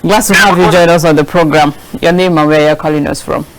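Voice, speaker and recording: A woman's voice: sits low, steady tone, quick pace, slight background noise, poor audio. A woman with a Nigerian accent hosting a live TV talk show from a studio, taking calls and questioning persistently. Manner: probing, persistent.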